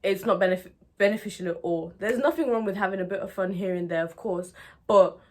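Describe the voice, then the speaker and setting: Candid, expressive young woman from Nigeria. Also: mid-range voice, fairly quick. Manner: conversational and expressive, often checking in with "you know". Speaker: video blogger. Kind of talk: candid personal talk to viewers.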